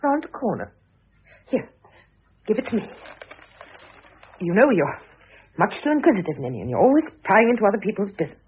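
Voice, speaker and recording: A sharp-tongued British mother, animated, tinny radio. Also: mid-range voice, brisk, long pauses, slightly rough. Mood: brisk, teasing. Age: middle-aged. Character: dignified, weary, sharp-tongued middle-aged mother and former wife. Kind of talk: vintage British radio drama acting. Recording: muffled, phone-like old radio recording.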